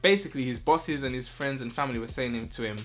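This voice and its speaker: Male vlogger, mid-pitched, relaxed chatty delivery, soft music bed behind him. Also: fast talker. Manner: chatty, loose, checks in with the listener often. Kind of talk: casual talk to camera.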